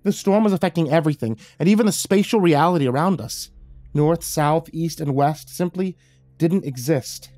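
A man's voice: a little high, moderate pace, darkish tone, dry recording, soft background music, pitched above the performer's own voice, lightly acted. A man voicing a young woman in a spoken horror story, bitter and full of guilt as she recounts a loss. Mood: grieving.

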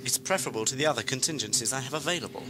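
An actor playing a cold, officious regime interrogator in an audio drama. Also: male voice, medium pitch, rapid-fire, noisy background, natural voice. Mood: cold, puzzled.

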